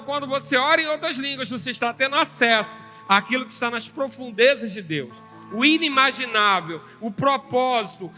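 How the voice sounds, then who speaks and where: Bright high-voiced male preacher. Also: big-room sound. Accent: Brazilian Portuguese. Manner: teaching, calling for "amém" from the congregation. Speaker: pastor teaching a seminar. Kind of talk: sermon.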